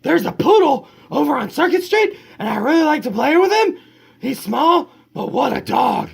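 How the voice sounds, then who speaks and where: Guy doing a talky storybook dog lady, squeaky high, gravelly, bouncy sing-song. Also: big pitch swings, moderate pace, heavily acted. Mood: chatty. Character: chatty talking female dog, endlessly rambling. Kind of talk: reading a picture book aloud.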